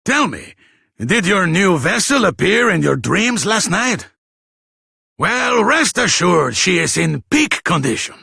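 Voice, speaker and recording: Flamboyant, gravelly fantasy king. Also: high-pitched, very animated, takes it slowly, sharp tone, dry recording, studio quality, heavily acted. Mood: jovial. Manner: pompous, florid, dramatic delivery. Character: grand, theatrical, generous king rewarding a hero. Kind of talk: scripted fantasy game voice acting.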